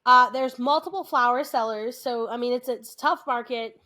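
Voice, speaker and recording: An American woman co-hosting a podcast, chatting casually, animated and quick to restart her sentences. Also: a little high. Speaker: podcast co-host. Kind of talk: casual chat.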